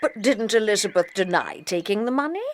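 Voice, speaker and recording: A woman's voice: medium pitch, expressive, a little fast, close to an everyday voice. An actress playing a kindly, concerned old grandmother in a children's audio drama. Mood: kindly curious.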